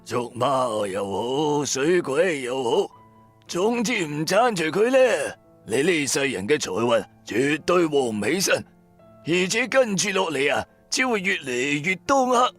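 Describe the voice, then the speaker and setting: A man's voice: on the high side, sing-song, measured pace, a bit bright, soft background music, full character voice. A man voicing a sly old blind fortune-teller, a preachy mystic with a theatrical, persuasive manner, in an audio drama. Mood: ominous, persuasive. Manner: theatrical, persuasive, self-righteous.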